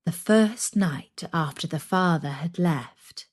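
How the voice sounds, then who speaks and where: A woman narrating a scripted children's story in a dramatic, deliberate way, with breathy delivery and suspenseful pauses. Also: lowish voice.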